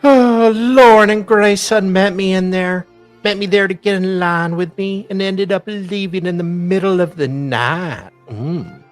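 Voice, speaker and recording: A woman's voice: medium pitch, measured pace, no echo. A woman co-host delivers a dramatic read-aloud of written documents. Her manner is theatrical and teasing, with mocking, conversational asides. Faint music plays behind her voice. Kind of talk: dramatic reading of court documents with casual asides.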